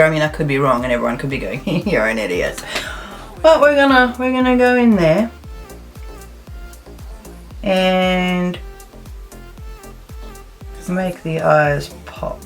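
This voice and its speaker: A woman in her thirties from South Africa, chatting and thinking aloud as she does her makeup in a get-ready-with-me video. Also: lowish voice. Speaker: beauty vlogger. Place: plain indoor room.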